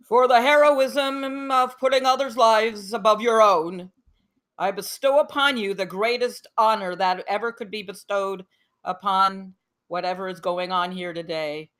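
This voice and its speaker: Man playing a pompous high-voiced ceremonial director. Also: fairly even intonation, a bit bright, smooth. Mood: grand, ceremonial. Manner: formal, grandiose, halting. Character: pompous ceremonial director making a formal speech. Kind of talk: table read of a film script.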